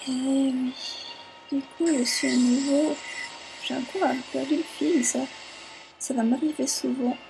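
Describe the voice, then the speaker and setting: A young woman whose first language is not French, giving casual let's play commentary, often pausing with fillers as she searches for words. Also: high voice, fairly even intonation, vocal fry, over music, low-quality recording. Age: young adult.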